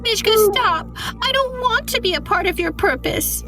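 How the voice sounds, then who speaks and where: A woman's voice: very high voice, lively intonation, normal speed. A woman voicing a curious young girl who asks many questions, with music behind. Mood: frightened. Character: curious little girl, later frightened.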